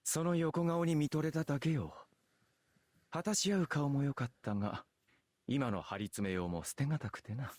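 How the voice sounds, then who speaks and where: A man's voice: mid-range voice, fast talker, a little mellow, dry recording, studio quality, close to an everyday voice. An actor playing an elegant assassin swordsman spirit in a Japanese visual novel, with archaic, poetic, teasing phrasing. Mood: amused, admiring.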